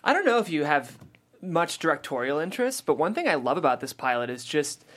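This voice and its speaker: Low, lively-pitched woman interviewer. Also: brisk. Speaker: panel interviewer. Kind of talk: casual interview chat.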